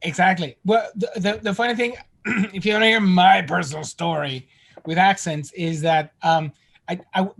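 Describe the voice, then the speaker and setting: High-voiced man telling blunt stories. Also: moderate pace, gravelly. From Venezuela. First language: Spanish. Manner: animated, blunt storytelling. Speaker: guest. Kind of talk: casual podcast conversation.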